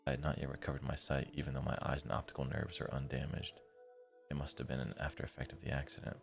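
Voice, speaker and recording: A man reading a visual novel's inner monologue as a tormented, bitter young man, in a very deep, gravelly voice with a measured literary delivery. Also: completely flat delivery, soft background music. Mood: bleak, weary. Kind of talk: read-aloud visual novel narration.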